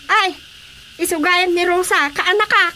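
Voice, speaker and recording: A woman's voice: very high-pitched, measured pace, sharp tone, a little hiss or hum, heavily acted. A woman playing a cheerful, chatty rural aunt in an Ilocano radio comedy drama, exclaiming often.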